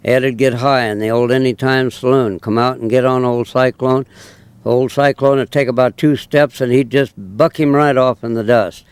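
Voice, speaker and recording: Smooth-voiced older rural Californian man. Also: medium pitch, fairly even intonation, normal speed, dry recording. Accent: rural Northern Californian. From Northern California. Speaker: old-timer speaker of a local folk lingo. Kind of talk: folksy banter and anecdotes.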